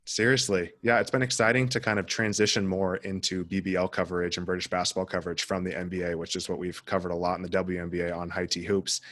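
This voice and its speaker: A man's voice: low voice, level tone, fast talker, hardly any pauses, a bit bright. Upbeat man hosting a podcast. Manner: upbeat and enthusiastic, short backchannels. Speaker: podcast host.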